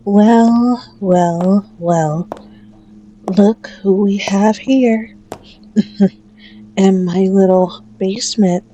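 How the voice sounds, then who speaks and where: A woman performs a sadistic female mafia boss who toys with a captive in an ASMR roleplay. Her voice is dark and soft-edged, and she draws out each mocking phrase at a crawl. A faint noise sits behind the voice. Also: dull audio. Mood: mocking, gloating. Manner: slow, taunting, drawn-out with frequent low chuckles.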